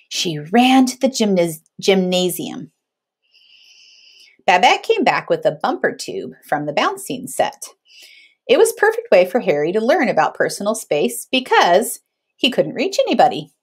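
A woman in her thirties reading a picture book aloud to children in an expressive, teacherly way. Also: lowish voice, husky. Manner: teacherly, checks in with listeners.

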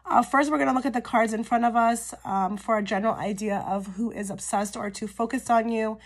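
Female tarot reader, higher register, a touch hoarse and cracky; casual, talks straight to camera with frequent check-ins. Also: steady tone, fairly quick. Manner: chatty, frequent "okay?" check-ins with viewers. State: slightly hoarse from a cold. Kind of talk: pick-a-card tarot reading to camera.

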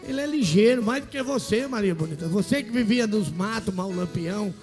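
A man's voice: high voice, lively intonation, fairly quick, darkish tone. A middle-aged Brazilian man hosting a TV variety show, teasing and boisterous in his interview banter, repeating himself. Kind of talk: playful on-stage interview banter. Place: large indoor TV studio stage. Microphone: handheld microphone. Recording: echoey TV studio hall, music.

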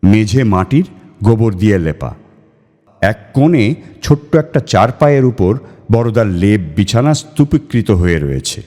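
A man reading a literary ghost story in a measured, expressive and suspenseful way. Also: lowish voice. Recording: long hall reverb on voice.

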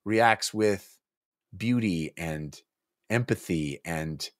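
A middle-aged man with a low-pitched voice talks casually about a book. He is a thoughtful, emphatic co-host who often breaks up his phrases as he thinks. The sound is dry and close, from a desk microphone. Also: extremely slow, dry recording. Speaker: podcast co-host. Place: small home room, indoors. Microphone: close desk microphone on boom arm.

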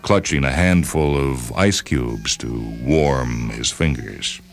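A man's voice: very deep voice, slow pace. A man hosting and reading short stories as scripted literary narration. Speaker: host and story reader. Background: music and sound cues.

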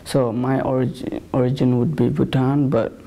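A young man from Nepal speaks in a small plain room, recorded close on a lapel mic. His voice is gravelly and dark, and it holds a flat, even line. He talks in a measured way and pauses often. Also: mid-range voice. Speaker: interviewee. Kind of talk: personal account.

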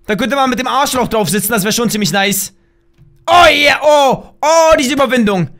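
A hoarse young man in his twenties, loudly and playfully commentating a game from a small room at home, talking into a desk mic while wearing a headset. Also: very high voice, animated, normal speed. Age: young adult. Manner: loud, shouty, laughing, playful exclamations. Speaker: gaming YouTuber. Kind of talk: excited gameplay commentary.

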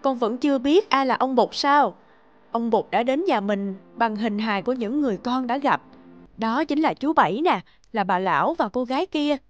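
A woman voicing a gentle, wise mother who explains things patiently and tenderly in an animated fairy tale. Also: a little high, moderate pace, muffled recording, soft background music, lightly acted.

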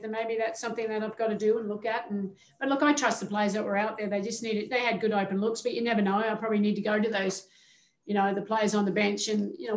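A middle-aged woman, a head coach, speaks candidly over a webcam video call from an indoor media room. Her talk is measured and loose, full of small fillers and restarts. Her voice carries a noticeable echo off the room's walls. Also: medium pitch. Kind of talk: postgame press conference answers. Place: indoor media room before a team backdrop. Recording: echoey room sound.

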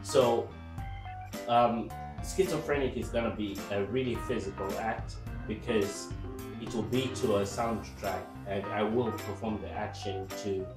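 A man in his thirties from Zimbabwe, a performance artist who speaks to the camera about his work in a calm, reflective way, with many fillers and restarts. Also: on the low side, unhurried. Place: indoor room with paintings on the wall. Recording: roomy, somewhat distant sound with faint music.

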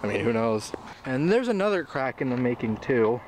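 A young man narrates his walk through an abandoned tennis court, chatty and rambling as he points things out. His voice sits in a mid range for a man. It comes through a handheld camera mic outdoors, with clear background noise all around. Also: mid-range voice, big pitch swings, normal speed. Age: young adult. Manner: rambling, chatty, laughs often. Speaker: vlogger. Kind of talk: casual exploring vlog.

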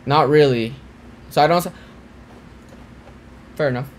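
A man's voice: fairly high pitch, unhurried, slight background noise. A young man streaming from a small room, chatting casually with his viewers while reacting to a video. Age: young adult. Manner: chatty, talks to viewers, trails off and restarts. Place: small cluttered room with green screen.

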